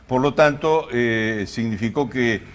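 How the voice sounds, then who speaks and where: An Argentine man co-hosting an interview, feeling his way through long questions with halting, roundabout phrasing. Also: mid-range voice. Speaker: co-host, interviewer.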